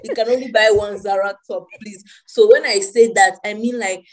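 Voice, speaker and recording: A woman's voice: mid-range voice, moderate pace. A Nigerian woman in her twenties, a podcast co-host chatting casually and joking with a friend. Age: 20s. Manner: playful, joking, laughs often. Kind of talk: casual chat.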